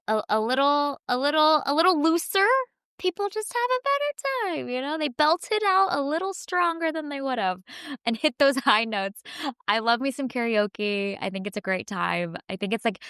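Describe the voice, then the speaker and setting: A woman co-hosting a podcast in casual chat, animated and laughing often. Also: fairly high pitch, clean tone, dry recording, clean studio sound. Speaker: podcast co-host.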